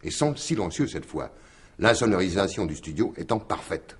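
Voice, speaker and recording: A man's voice: low-pitched, sing-song, moderate pace, breathy edge, exaggerated voice. An actor playing a shrewd, insistent detective in a French radio mystery in 1950s style, explaining his theory with emphatic deliberation. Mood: methodical, grim.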